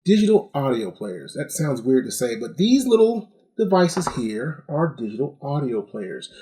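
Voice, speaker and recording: A man's voice: medium pitch, very animated, normal speed, dark tone. Middle aged guy doing a casual gadget review, chatty, kinda wry. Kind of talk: casual product review to viewers.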